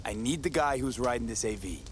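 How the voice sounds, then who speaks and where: An actor playing a calm, dry-spoken male mercenary in a game cutscene. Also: mid-range voice, fairly quick, a little hiss or hum, close to an everyday voice. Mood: steady. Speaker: game protagonist.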